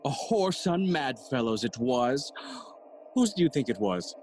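An actor playing a jolly, cheeky gravedigger who riddles and teases in a theatrical bilingual Spanish-English Shakespeare audio play. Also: male voice, medium pitch, very animated, a little slow, a little mellow, a little hiss or hum. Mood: amused, exclaiming. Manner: teasing, sighing and chuckling, theatrical.

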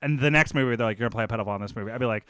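A man joking casually as a podcast co-host, crude and profane, acting out quick bits of imagined dialogue. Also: medium pitch, sharp tone, no room sound. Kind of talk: joking casual group banter.

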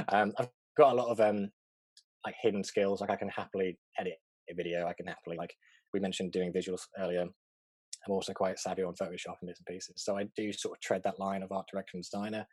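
A young man from England with a neutral English accent, a fast-talking, chatty interview guest on a video call, full of fillers and quick laughs. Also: deep voice, steady tone, a little mellow, slightly rough, dry recording. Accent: English, neutral southern. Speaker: interview guest, art director.

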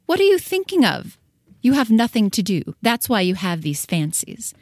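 A woman's voice: fairly high pitch, big pitch swings, moderate pace, a little mellow, no room sound, studio quality. A woman voicing a bossy, conceited hen who scolds in an audio storybook. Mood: scolding. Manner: scolding, self-important.

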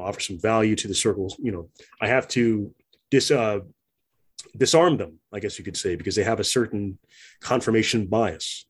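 Low-voiced man, reflective and hesitant. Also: breathy edge. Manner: reflective, hesitant, many fillers and restarts. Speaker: interview guest. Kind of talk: conversational interview.

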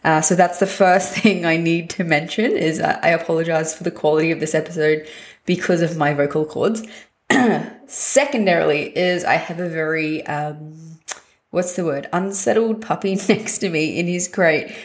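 Hoarse, husky woman hosting a casual fitness podcast from home; upbeat and blunt. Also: low voice, moderate pace. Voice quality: raspy, husky. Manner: upbeat, direct, swears casually. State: hoarse from a chest infection. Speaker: podcast host and fitness coach.

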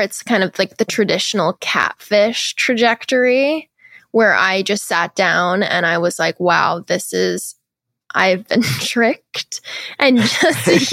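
A young woman is recounting her dating mishaps as a podcast guest. She tells them in an animated, giggly way. Her voice is recorded close and dry, with no room sound. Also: medium pitch, no echo. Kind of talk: casual interview chat.

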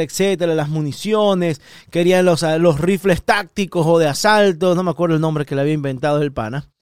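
A middle-aged man from Ecuador with an Ecuadorian Spanish accent, hosting an opinionated political podcast in an emphatic, repetitive way with sudden high stresses. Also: a little mellow, clean tone, no room sound, studio quality. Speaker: podcast host.

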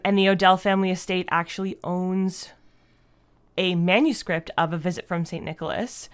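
A woman's voice: lowish voice, fairly bright tone. A Canadian woman chatting informally as an interview guest, with lots of "like" in her casual speech. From Fredericton, New Brunswick, Canada. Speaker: podcast guest, audio drama creator.

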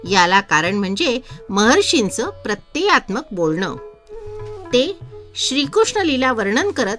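A woman reads a devotional story as an expressive narrator, in a measured, reverent manner. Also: fairly high pitch.

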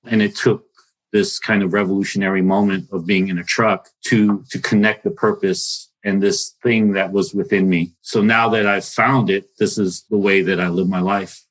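Casting: male chef panelist, low voice, heartfelt and earnest. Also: steady tone, moderate pace, rough recording. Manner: earnest, heartfelt, repeats himself when starting sentences. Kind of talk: panel discussion.

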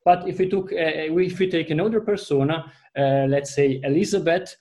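Italian-accented man, mellow voice. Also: on the high side. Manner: halting, restarts often, explains through examples. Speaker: panel guest. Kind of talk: business discussion.

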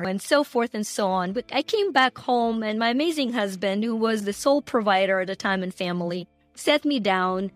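A woman's voice: mid-range voice, dry recording. A middle-aged woman from Ukraine with a Ukrainian accent, telling her personal story emphatically as an interview guest. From Ukraine, former Soviet Union. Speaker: guest, entrepreneur and coach.

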